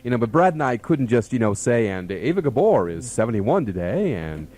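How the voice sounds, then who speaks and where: A man with an American accent co-hosts a radio show in casual banter. His pitch is medium but very animated, and he rambles, repeating and restarting phrases as he goes. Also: a little fast. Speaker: radio morning show co-host. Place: radio station studio. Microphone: studio broadcast microphone.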